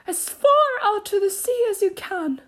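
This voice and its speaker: A woman voicing an excitable, tearful sea turtle creature from a storybook, in an exclamatory, effusive manner. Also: very high-pitched, a little slow, pitched above the performer's own voice. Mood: excited. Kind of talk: storybook character voice.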